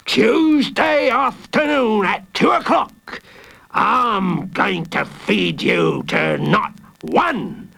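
A man voicing a blustering, exasperated monster jailer in a storybook, gravelly and halting, stammering as he goes. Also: high voice, expressive, pushed and bright, pitched above the performer's own voice, full character voice. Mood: threatening.

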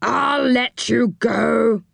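Woman as an imperious, high-pitched storybook enchanter. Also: very slow, gravelly, no echo, exaggerated voice. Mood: uneasy. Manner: loud, commanding. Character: imperious, suspicious male enchanter guarding his gold.

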